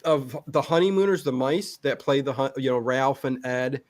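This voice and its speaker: A man's voice: mid-range voice, steady tone, moderate pace. A middle-aged man chatting casually as an interview guest, talking emphatically and repeating words to stress his points. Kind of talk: casual chat.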